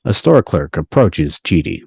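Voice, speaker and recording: A man's voice: deep voice, big pitch swings, measured pace, creaky, a little mellow, slightly rough, no echo, studio quality. A man reading stage directions as the narrator of a scripted table read. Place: video call from indoors.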